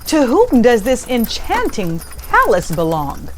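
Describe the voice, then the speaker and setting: A woman's voice: a little high, huge pitch swings, a little slow, a little hiss or hum. A woman voicing a grand king who calls out to his people in a children's storybook reading. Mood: grand, inquiring. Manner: declamatory, calling out. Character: grand king calling out questions to his countrymen. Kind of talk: storybook read-aloud for children.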